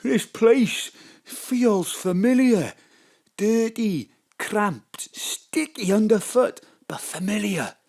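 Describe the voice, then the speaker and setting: A man's voice: high voice, expressive, takes it slowly, darkish tone, exaggerated voice. An actor playing a dreamy, awestruck male drinking companion in a comic audio drama.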